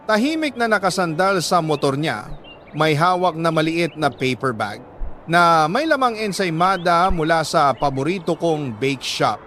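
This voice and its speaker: A Filipino man with a fairly high voice reads a story in the first person as a radio storyteller. His delivery is sentimental and deliberate, broken into short phrases with pauses. There is light noise behind him. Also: fairly bright tone. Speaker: radio storyteller reading a listener's letter.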